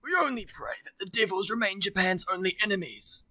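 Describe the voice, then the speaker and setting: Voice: mid-range voice, lively intonation, a little fast, bright, forceful tone, dry recording. A performer voicing a grave senior government official in an amateur anime fan dub.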